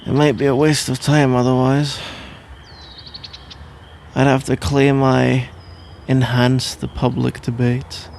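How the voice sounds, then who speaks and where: A man's voice: mid-range voice, monotone, slow pace, gravelly, pauses often, a little mellow, slight background noise. A man playing a sleepy, grumbling, playful husband in an ASMR roleplay, rambling and bantering with mock indignation. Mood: groggy, confused. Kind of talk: ASMR roleplay, improvised-sounding one-sided conversation.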